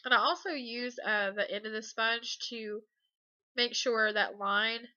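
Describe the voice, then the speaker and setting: A woman's voice: fairly high pitch, a little slow, no echo, lo-fi sound. A young woman with a stuffy cold voice, chatting casually and a bit hesitantly through a makeup tutorial voice-over. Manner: relaxed, hesitant, many ums and uhs. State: congested from a cold. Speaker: beauty vlogger.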